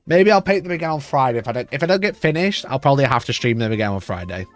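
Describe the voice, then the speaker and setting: A man with a rough, hoarse voice, chatting casually on a livestream and rambling with frequent restarts. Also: mid-range voice, lively intonation, racing, faint music behind. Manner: rambling, restarts mid-sentence. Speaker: livestream host.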